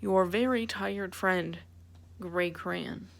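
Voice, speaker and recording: A woman's voice: lowish voice, very slow, creaky, slight background noise, heavily acted. A woman voicing a tired gray crayon in a children's storybook, weary and pleading. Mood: weary, complaining. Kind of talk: children's storybook read-aloud.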